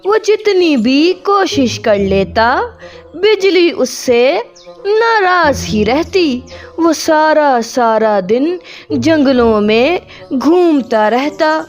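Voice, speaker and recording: A woman's voice: high-pitched, big pitch swings, very slow, faint music behind. A woman narrating a children's cartoon story in Hindi as a storyteller.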